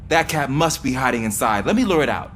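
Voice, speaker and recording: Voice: mid-range voice, lively intonation, brisk, fairly bright tone, natural voice. An actor playing an eager, chatty young rookie police officer in a video game, talking in a casual, conversational way. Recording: noisy game ambience behind.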